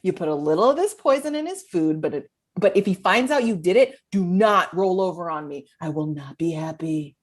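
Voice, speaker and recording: A woman's voice: on the low side, expressive, moderate pace, hardly any pauses. Woman acting a brash, shady dealer with swooping intonation. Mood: sly, bossy. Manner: cocky, wisecracking. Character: shady, brash woman selling poison and racing coyotes. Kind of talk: comedy pilot table read.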